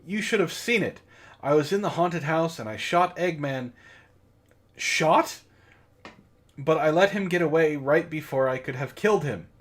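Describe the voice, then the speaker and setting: A man voicing a boastful, excitable cartoon hedgehog hero in a comic story reading. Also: a little high, measured pace, pauses often, pitched above the performer's own voice. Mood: boastful, excited.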